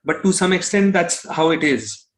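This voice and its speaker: A man's voice: high-pitched, animated, moderate pace, slight background noise. A man from Kolkata with an Indian accent, answering interview questions casually, often circling back over his phrasing with "you know". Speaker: musician interview guest.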